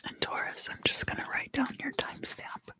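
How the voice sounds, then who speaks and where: A woman whispering a soothing ASMR tarot reading in a breathy, mostly unvoiced voice, taking her time. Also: bright, forceful tone. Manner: soothing, unhurried.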